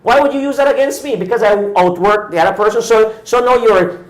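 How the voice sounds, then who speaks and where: A man's voice: high voice, fairly quick. Indignant fifty-something man, echoey room. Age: 50s. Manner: indignant, insistent, repeats points with rhetorical questions. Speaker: cycling podcast host. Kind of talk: opinionated talk-show rant.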